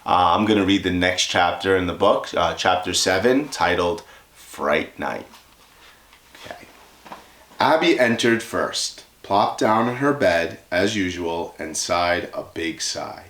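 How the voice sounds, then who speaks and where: A man reading a children's storybook aloud as a guest reader, in an expressive teacherly way. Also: deep voice, takes it slowly, roomy sound.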